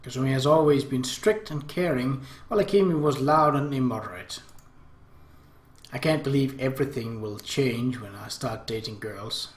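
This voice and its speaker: A man narrating a visual novel aloud as an expressive, theatrical first-person story reading, in an echoey room. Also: mid-range voice, normal speed. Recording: roomy, echoey home recording.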